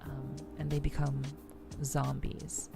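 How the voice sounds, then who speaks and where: A woman hosting a soft-spoken ASMR game livestream, chatting to viewers and explaining things in a gentle, rambling way. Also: extremely low pitch, completely flat delivery, slow pace, creaky. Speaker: streamer, host of a weekly live show. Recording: music playing behind the voice. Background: background music.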